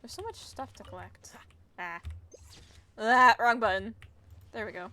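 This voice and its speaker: A woman's voice: a little high, a little slow, bright, forceful tone. A woman streaming a game, giving casual live commentary in a giggly, gushing way and reacting aloud as she plays. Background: game music.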